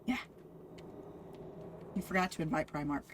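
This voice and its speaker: A woman's voice: deep voice, moderate pace, a little hiss or hum. A woman in her thirties chatting playfully on a game stream, teasing and giggling. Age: 30s. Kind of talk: casual game-stream chat.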